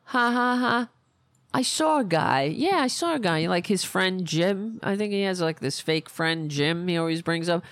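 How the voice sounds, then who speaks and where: A woman hosting a political podcast, hoarse from losing her voice, ranting in a rambling way and losing her train of thought. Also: on the low side, expressive, moderate pace, no room sound. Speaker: podcast host. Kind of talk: casual political rant.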